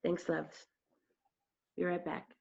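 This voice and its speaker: Actress as folksy caretaker woman, tender, soothing, unhurried, stage reading, dull video-call audio. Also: fairly low pitch, level tone, gravelly, breathy edge, full character voice. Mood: caring, practical.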